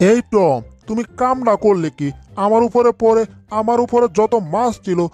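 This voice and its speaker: A mid-pitched cartoon voice acted by a performer for an indignant, grumbling husband. He complains in puzzled questions over faint music. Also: measured pace, a little mellow, natural voice. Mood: scolding. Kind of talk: Bengali cartoon dub acting.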